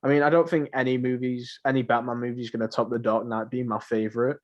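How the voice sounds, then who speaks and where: A man's voice: medium pitch, steady tone, fast. A young man co-hosting a podcast in casual, rambling, informal chat. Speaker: podcast co-host. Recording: muffled lo-fi home recording.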